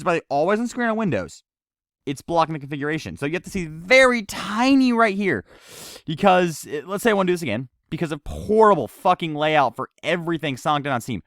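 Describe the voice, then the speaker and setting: A man from the United States reviewing a video game in casual, rambling, halting, sweary unscripted commentary. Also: a little high, a bit bright, no echo, studio quality. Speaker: gaming reviewer. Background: game music.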